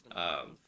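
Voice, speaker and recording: A man streaming casually, chatting and thinking aloud as host while he works at a craft. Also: pushed and bright.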